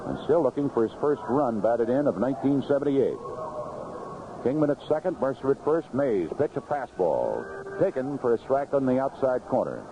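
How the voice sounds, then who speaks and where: An American man calling a baseball game play by play on 1970s radio, in clipped, unhurried phrases from an outdoor ballpark booth. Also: medium pitch, big pitch swings. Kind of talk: live radio sports commentary, 1970s. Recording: noisy vintage AM radio broadcast, muffled.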